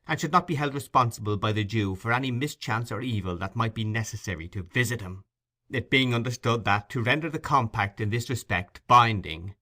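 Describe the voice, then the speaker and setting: A man narrating classic fiction as a scripted audiobook, in a measured, articulate storytelling manner. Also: lowish voice, sharp tone.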